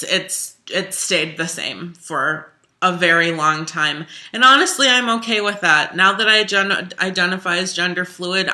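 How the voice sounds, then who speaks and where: A young adult singer in their twenties, talking openly and reflectively in a casual self-interview. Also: medium pitch. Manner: open and expressive, with frequent restarts and fillers. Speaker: singer and musical theater major talking about their own transition.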